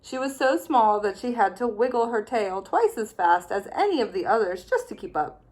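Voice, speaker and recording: A woman teacher reading a children's picture book aloud with expressive, playful storytelling. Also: a little high, normal speed, poor audio.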